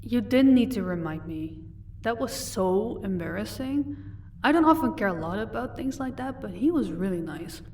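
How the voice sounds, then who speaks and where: A woman's voice: mid-range voice, animated, normal speed, a little mellow, distant mic, lightly acted. Composed, commanding female vampire, played by a woman for audio roleplay; haughty, recorded a little off-mic with faint noise. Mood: sheepish, casual. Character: commanding female vampire, protective wife, cold to captives.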